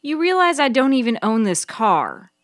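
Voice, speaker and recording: Lady acting a young college woman for a story, higher voice, argumentative, kinda anxious, always protesting. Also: big pitch swings, moderate pace, no echo. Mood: exasperated. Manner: questioning, protesting.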